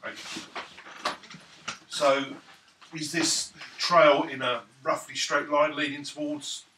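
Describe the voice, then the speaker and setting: A man's voice: a little high, flat delivery, takes it slowly, bright, forceful tone. A man talking casually as a player in a tabletop role-playing game. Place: indoors around a gaming table. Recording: noisy echoing room, far from mic.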